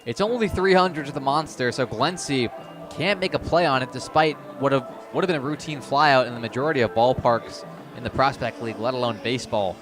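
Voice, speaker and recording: A man's voice: mid-range voice, a little fast, music in the background. A man giving conversational color commentary and analysis on a live radio baseball broadcast. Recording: clear crowd noise of outdoor ballpark. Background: ballpark crowd.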